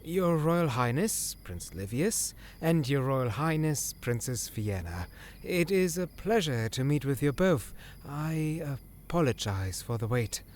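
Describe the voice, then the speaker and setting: A man's voice: medium pitch, very animated, measured pace, darkish tone, slight background noise. A man playing an earnest, deferential scholar serving royalty in a fantasy audio drama, formal and slightly hesitant. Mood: humble, formal.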